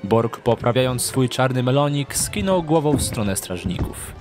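A man's voice: mid-range voice, animated, normal speed, a little mellow, soft background music. A man narrating a scripted dramatic story for an audio drama.

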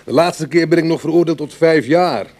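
A man's voice: fairly high pitch, a little fast, darkish tone, lightly acted. An actor playing a self-deprecating ex-burglar in his thirties, talking dryly and colloquially in a Dutch radio play. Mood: joking. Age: 30s.

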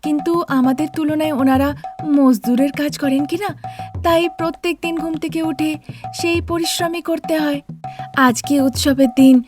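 A woman playing a gentle, respectful young daughter-in-law in a cartoon, polite and placating in manner. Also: high voice, fairly even intonation, moderate pace, over music, close to an everyday voice. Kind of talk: cartoon dialogue.

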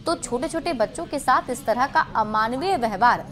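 A woman news anchor reading a bulletin of regional news in a brisk, formal headline style. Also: fairly high pitch, expressive, a bit bright, soft background music. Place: television studio.

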